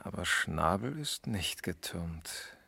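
An actor playing an eager, earnest junior police detective who thinks aloud, in a radio crime drama from the 1970s. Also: male voice, deep voice, expressive, slow, gravelly, pushed and bright, breathy edge, no room sound, full character voice. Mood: puzzled, speculative.

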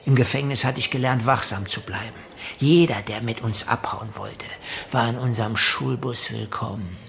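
An actor playing a wary, fervent commune guru and ex-convict, preaching in a declamatory radio drama monologue. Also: male voice, mid-range voice, normal speed, creaky, a bit bright, faint music behind. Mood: wary, ominous.

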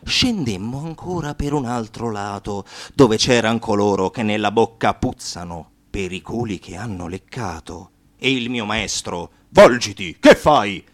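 A man's voice: lowish voice, lively intonation, unhurried. A man reciting satirical verse in a declamatory, mocking, theatrical way. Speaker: actor and narrator.

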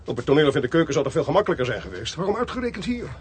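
An actor playing a brisk, inquisitive police detective who questions deliberately in a radio play. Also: male voice, fairly high pitch, very animated. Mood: probing, brisk. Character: inquisitive male detective leading an arson investigation. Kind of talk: Dutch radio play acting. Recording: faintly noisy radio play recording.

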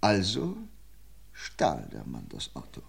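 An actor playing a shrewd, dogged police inspector in an old radio crime play, theatrical and swinging from excited outbursts to quiet menace. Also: male voice, rumbling low, slow pace, creaky, slightly rough, heavily acted. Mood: menacing. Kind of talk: 1950s-60s radio crime play acting.

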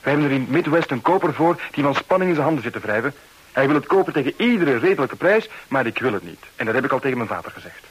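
Earnest, slightly husky young man. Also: mid-range voice, big pitch swings, fast, poor audio. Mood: earnest, pleading. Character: earnest young American art dealer named Dick Branton. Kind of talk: radio drama acting. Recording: old radio drama recording.

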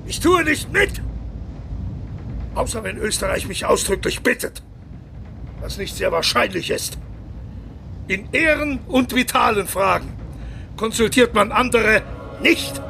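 An actor playing a vain, pompous Kaiser in a radio drama, declaiming grandly. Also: male voice, extremely high pitch, lively intonation, unhurried, creaky, pauses often, bright, forceful tone, a little hiss or hum, full character voice. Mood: indignant. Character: German Kaiser, vain, indignant, high-pitched, given to grand speeches.

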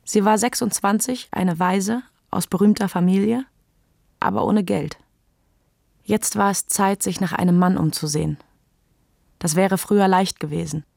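A woman narrates a literary radio drama in a medium-pitched voice with noticeable fry. The recording is dry, with no room around her. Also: normal speed, a little mellow, no room sound.

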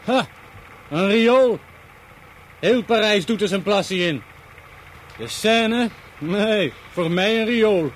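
A cynical Paris cab driver in a radio drama, fairly high in pitch. He chats in a coarse, sardonic grumble, with clear car and street noise behind him. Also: expressive, slow pace, pauses often, rough recording. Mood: cynical, grumbling. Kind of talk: radio play dialogue. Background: car engine and traffic.

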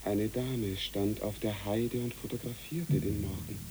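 A man's voice: lowish voice, fairly even intonation, a little slow, warm tone, slight background noise, natural voice. An actor playing a dutiful soldier who reports formally and poetically to his captain in a radio play. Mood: earnest reporting. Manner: formal report turning lyrical. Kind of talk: literary radio play acting.

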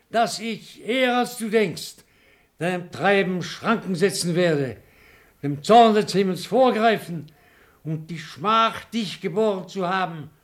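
An actor playing a stern, outraged old nobleman father in a classical radio play, solemn and declamatory. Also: high-pitched. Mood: wrathful.

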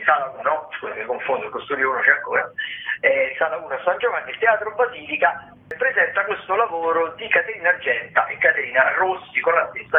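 Tinny narrow-band radio sound, man, higher-pitched voice, informal host, improvised listings, hesitant and rambling. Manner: rambling, self-correcting, hesitant delivery. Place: radio broadcast.

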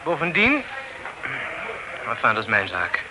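An actor playing an obstinate, unwelcome witness who talks in an insistent, needling way. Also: male voice, mid-range voice, huge pitch swings, measured pace. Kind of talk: radio play acting. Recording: noisy old radio drama recording.

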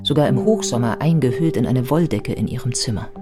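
A woman's voice: extremely low pitch, animated, fairly quick. Sober female biographer over music. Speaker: narrator, as the biographer. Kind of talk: scripted radio drama narration. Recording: prominent music behind the voice.

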